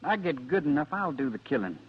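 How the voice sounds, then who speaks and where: An actor playing a proud, stubborn sixteen-year-old cowboy in a radio Western, with terse, folksy frontier talk. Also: male voice, fairly high pitch, very animated, fairly quick, husky. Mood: determined. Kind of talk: 1950s radio Western acting. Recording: dull vintage radio recording with music.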